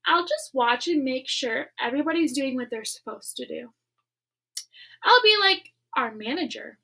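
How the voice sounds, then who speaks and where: A woman's voice: high-pitched, animated, normal speed, gravelly, pushed and bright, heavily acted. A woman voicing a bossy, mean-spirited preteen schoolgirl who sneers and keeps saying "like" in a storybook reading. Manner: sneering, peppered with "like".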